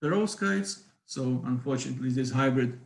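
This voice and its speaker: Ukrainian-accented man, hesitant lecturer, gravelly voice, roomy echo on a call. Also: medium pitch, expressive, normal speed. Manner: hesitant, many fillers. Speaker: physics lecturer. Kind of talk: online academic talk.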